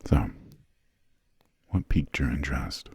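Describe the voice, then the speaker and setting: A man playing an imperious, centuries-old vampire lord in an ASMR roleplay, courteous but condescending, with formal, measured, soft speech and a breathy, gravelly voice close to the microphone. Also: very deep voice, sing-song, no room sound, heavily acted. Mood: stern, intrigued. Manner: slow, measured, formal, haughty, drawn-out pauses. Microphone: close, dry studio microphone.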